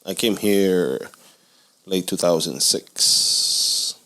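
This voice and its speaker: A man's voice: low-pitched, very slow, bright, forceful tone, a little hiss or hum. A man from Costa Rica co-hosting a casual comedy podcast, teasing and asking questions with frequent laughs. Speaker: podcast co-host. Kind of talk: casual banter.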